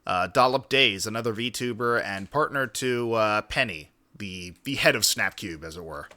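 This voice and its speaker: A man's voice: fairly low pitch, normal speed, sharp tone. A man hosting a reaction stream with casual, wordy commentary and mildly formal, roundabout turns of phrase.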